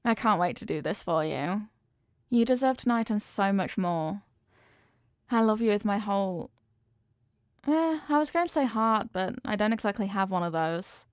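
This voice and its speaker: A woman's voice: medium pitch, expressive, normal speed, clear, resonant tone, no room sound, clean studio sound, lightly acted. A woman playing a sweet, devoted headless horsewoman girlfriend in an intimate ASMR roleplay, affectionate, playful and gushing. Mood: dreamy, loving.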